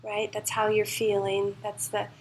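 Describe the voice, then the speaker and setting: A woman leading a guided meditation as a teacher, speaking in a soothing, unhurried and reassuring way. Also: mid-range voice, completely flat delivery, a little hiss or hum, rough recording. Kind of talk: guided meditation, spoken live to a class.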